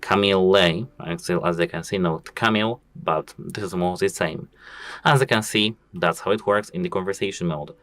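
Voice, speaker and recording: A man's voice: low-pitched, moderate pace, a bit bright. A man hosting a casual phone tutorial, explaining each step and repeating "as you can see". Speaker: tech tutorial host. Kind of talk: casual phone how-to walkthrough.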